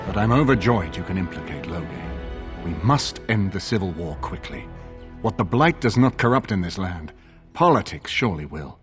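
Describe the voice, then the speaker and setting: An elder fantasy game statesman, commanding and urgent. His lowish voice is formal and authoritative with broad swings in pitch, over faint music. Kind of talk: dramatic fantasy game acting.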